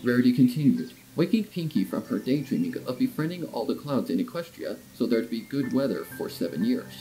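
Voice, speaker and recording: Animated male storyteller over music. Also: mid-range voice, fairly quick, poor audio. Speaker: narrator. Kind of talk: scripted fan fiction narration, expressive storytelling. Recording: guitar music behind the voice.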